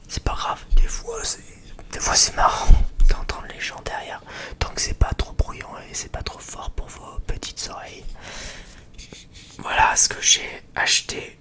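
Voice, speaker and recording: An ASMR creator chatting in a rambling, hesitant way, often repeating phrases. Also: sharp tone. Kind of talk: whispered ASMR chit-chat.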